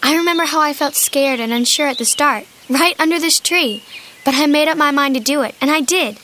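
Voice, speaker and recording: A woman's voice: sits high, brisk, pushed and bright, slight background noise. A woman playing an encouraging young girl in an audio drama, speaking in an earnest, reassuring way. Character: encouraging young girl, a program graduate named Emily.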